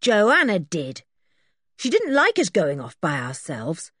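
A woman's voice: on the low side, huge pitch swings, slow, a bit bright, husky, no room sound, clean studio sound, full character voice. A woman voicing an outspoken, cheeky young boy in a storybook. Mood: excited.

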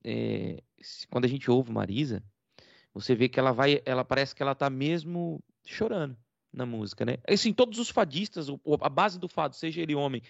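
A man's voice: mid-range voice, fairly quick, creaky, no echo. A man with a Brazilian Portuguese accent, co-hosting an interview and explaining things at length in a casual, praising way. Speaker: co-host. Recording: dry, dull lo-fi sound.

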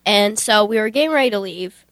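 A teenage girl with a medium-pitched voice shares an anecdote on youth radio. She talks casually and conversationally, recorded without any room echo. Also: expressive, a little fast, a bit bright, studio quality. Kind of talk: casual personal storytelling.

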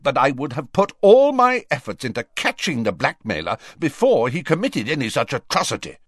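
Man acting an indignant, exasperated prime minister, very animated. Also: lowish voice, moderate pace, no echo, clean studio sound, pitched above the performer's own voice, exaggerated voice. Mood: furious. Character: nervous, indignant, exasperated male head of government.

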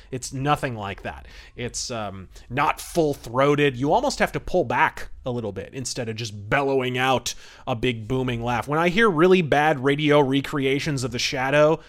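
A man hosting a podcast, giving enthusiastic, wordy and emphatic review commentary. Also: mid-range voice. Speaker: podcast host.

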